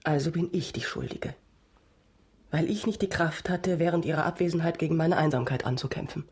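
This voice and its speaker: A woman's voice: low-pitched, fairly quick, darkish tone, slight background noise, lightly acted. An actress in a German radio drama playing an anguished noblewoman, earnestly imploring as a desperate mother to a man she once loved. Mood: guilty, hushed.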